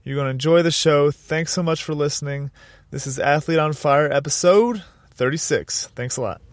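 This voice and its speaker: A male podcast host with a stuffed-up, nasal voice from a sinus infection. He talks in an upbeat, energetic way and often restarts his sentences. The sound is very dry and close, with no room in it. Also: fairly high pitch, normal speed, dry recording. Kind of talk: podcast intro and interview. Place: Colorado.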